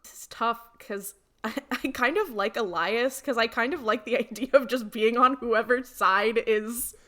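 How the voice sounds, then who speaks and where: A young woman with a fairly high voice hosts a casual, comedic podcast panel. She spins stories in an animated way, slipping into little acted-out asides. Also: moderate pace. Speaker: podcast host.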